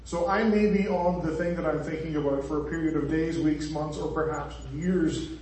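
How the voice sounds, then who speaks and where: A chatty Northern Irish preacher, a man speaking a little high for his sex and tossing jokes and asides to the room. He sounds far from the microphone, and his voice rings around a very echoey church hall. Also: steady tone, moderate pace, a little mellow. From Northern Ireland. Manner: chatty and joking, with asides to the congregation. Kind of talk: conversational sermon.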